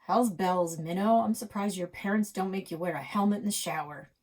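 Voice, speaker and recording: A woman voicing an old grandfather in a storybook, gruff, teasing and exasperated in a folksy, blunt way. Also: mid-range voice, a little fast, darkish tone, close to an everyday voice.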